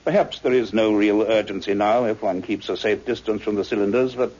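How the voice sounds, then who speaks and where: Thoughtful doctor of science, low husky voice, measured scholarly delivery, vintage radio play, dull recording. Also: male voice, darkish tone. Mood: grave wonder. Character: thoughtful, grave male scientist, a learned doctor. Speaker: lead actor and first-person narrator. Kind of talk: old-time radio science fiction drama acting.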